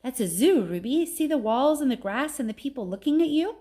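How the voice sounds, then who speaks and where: A woman reading a storybook as a proud, gentle male gorilla, patiently explaining and reassuring. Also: high-pitched, expressive, fairly quick, voice pushed up.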